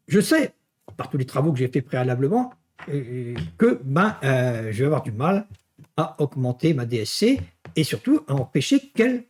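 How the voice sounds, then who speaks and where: Animated, higher-voiced male lecturer. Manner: didactic, punctuating with verbal check-ins and rhetorical questions. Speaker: university lecturer. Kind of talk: explanatory finance lecture walking through slides.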